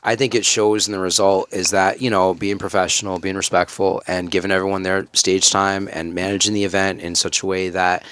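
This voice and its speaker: A man's voice: sits low, little pitch movement, a little fast, hardly any pauses, fairly bright tone, clean tone, no echo. A man from Rhode Island, a comedian guest with a big broadcast voice, speaking earnestly and emphatically on a casual podcast. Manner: earnest, emphatic, self-assured.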